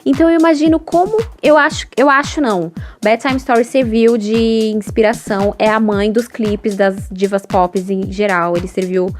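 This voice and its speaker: A Brazilian woman with a fairly high voice chats as co-host of a fan podcast. Her talk is colloquial and effusive, full of everyday slang. Faint music plays behind her. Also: steady tone, moderate pace, few pauses. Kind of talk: casual fan podcast chat.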